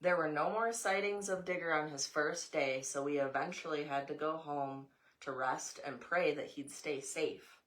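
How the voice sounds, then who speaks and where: A woman's voice: low voice, normal speed, hardly any pauses, fairly bright tone. An American woman reads a children's picture book aloud, adding informal asides to a young child. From United States. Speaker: storybook reader, mother.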